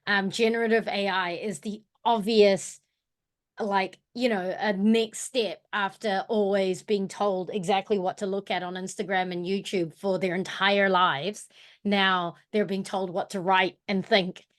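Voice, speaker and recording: A woman's voice: medium pitch, steady tone, a little slow. A woman with a New Zealand accent talking informally in an online workshop, thinking aloud as she co-presents. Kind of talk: casual reflective discussion in an online workshop. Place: online video call.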